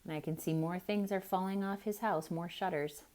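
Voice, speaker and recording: A woman reading a picture book aloud to children in an expressive style, pausing to ask the listener questions. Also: fairly low pitch. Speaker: children's storyteller. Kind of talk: theatrical read-aloud for kids.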